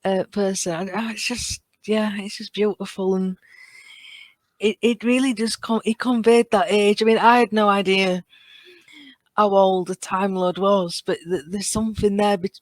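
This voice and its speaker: A woman speaking as a podcast guest in casual fan discussion, earnest and appreciative, often halting as she finds her words. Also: mid-range voice, dry recording.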